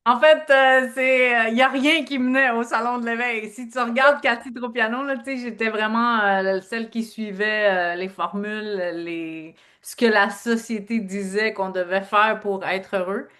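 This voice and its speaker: A woman's voice: on the high side, steady tone, normal speed, hardly any pauses, a bit bright. A middle-aged woman from Quebec with a Québécois French accent, a guest telling her life story earnestly in an interview and leaning on filler phrases. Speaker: guest, speaker and author. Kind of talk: personal interview talk.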